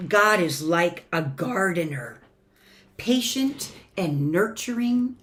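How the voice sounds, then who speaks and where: A woman reading a children's picture book aloud in an expressive, deliberate way, as a church storyteller. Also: lowish voice. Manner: expressive, deliberate, shifting between emphatic and hushed. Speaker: church family ministry storyteller.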